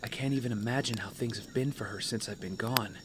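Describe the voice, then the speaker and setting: A man's voice: medium pitch, fairly quick, natural voice. An actor playing an earnest, self-doubting young man in training, conversational and a little hesitant, in a naturalistic audio drama. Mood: reflective. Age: young adult. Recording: clear cricket and outdoor ambience noise.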